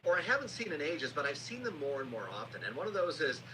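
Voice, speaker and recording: A man's voice: a little high, very animated, quick pace. A man hosting a podcast, introducing and questioning his guest in a conversational way. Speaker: podcast host. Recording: noisy, humming live stream audio.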